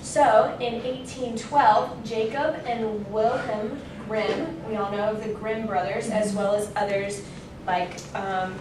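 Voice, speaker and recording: A woman's voice: fairly high pitch, steady tone, slow pace, very echoey. Young woman, informal classroom presentation, casual self-correcting delivery, distant mic, noisy background. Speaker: student giving an informative speech. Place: indoor classroom. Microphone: distant camera microphone.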